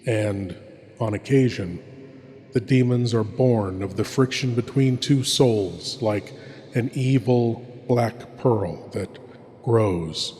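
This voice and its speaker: Man playing slow, sinister horror host. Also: fairly low pitch, dark tone. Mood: ominous. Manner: ominous, deliberate, dramatic. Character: sinister, theatrical male horror-show host lord. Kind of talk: theatrical scripted horror monologue. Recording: long reverb over music. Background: music.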